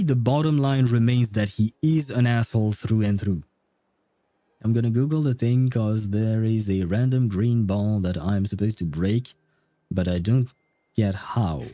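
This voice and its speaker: A man's voice: fairly low pitch, warm tone, no room sound. A man giving casual, chatty and opinionated commentary as he livestreams a game.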